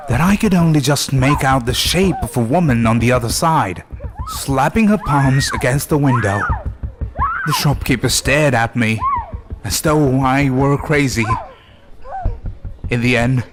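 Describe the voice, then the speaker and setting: A man narrating a scripted horror story in a deliberate, suspenseful way with dramatic pauses. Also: mid-range voice. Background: music under the voice.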